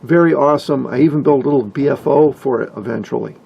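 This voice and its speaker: An older man narrating informally from a script, with a husky, gravelly voice and wry, folksy asides. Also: mid-range voice, fast talker, dull audio. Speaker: hobbyist video narrator. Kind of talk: informal scripted narration about vintage radios.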